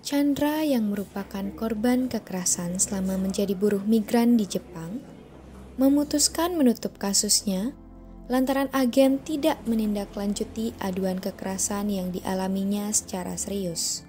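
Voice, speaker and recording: A woman narrates a documentary report in a mellow, soft-edged voice. Faint music runs underneath. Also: mid-range voice, normal speed. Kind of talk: scripted narration.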